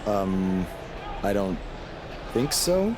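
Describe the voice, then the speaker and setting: A man's voice: lowish voice, very animated, extremely slow, a crowd in the background, background noise, heavily acted. A man playing a young wrestler in a video game cutscene, earnest and casual, with hesitant phrasing. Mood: hesitant, polite.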